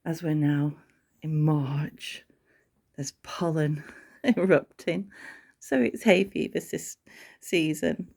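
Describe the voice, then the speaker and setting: A middle-aged English woman gives a gentle home tour, sniffly from hay fever and often dropping to a half-whisper. Her voice is mellow and a little gravelly, with a husky edge. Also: sits low, fairly even intonation, slow pace, long pauses. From England. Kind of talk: casual home tour narration. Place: cottage bedroom, indoors.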